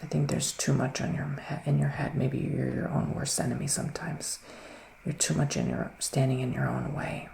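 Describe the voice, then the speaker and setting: A man speaking in a hushed, breathy ASMR style that often slips into a whisper, soothing and reassuring as he plays a psychic witch giving a reading. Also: mid-range voice, level tone, moderate pace. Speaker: ASMR performer playing a psychic reader. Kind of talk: ASMR roleplay, improvised tarot reading. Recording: roomy echo, faint background noise.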